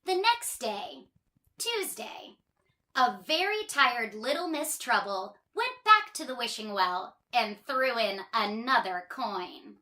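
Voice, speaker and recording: A woman reads a picture book to children in a high, girlish register with big swoops of pitch. Her delivery is unhurried and expressive, leaning on words for emphasis. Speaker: children's storybook reader. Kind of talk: animated read-aloud storytelling for children.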